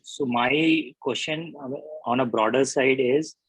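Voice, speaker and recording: A man on a video call asking deliberate, hesitant questions about a policy. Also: mid-range voice, slightly rough, dry recording, poor audio.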